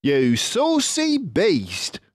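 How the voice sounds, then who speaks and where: A man voicing a cocky, suave fox who leads the others, with theatrical, drawn-out emphasis, in a children's storybook reading. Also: fairly high pitch, huge pitch swings, no echo, studio quality, pitched above the performer's own voice, exaggerated voice. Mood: menacing, suave. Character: cocky, suave male fox, sly leader and provider.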